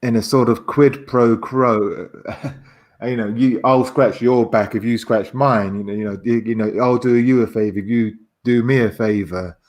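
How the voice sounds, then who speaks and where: A man as a guest in a casual chat, explaining haltingly and stammering as he searches for words. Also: mid-range voice, fairly even intonation, darkish tone.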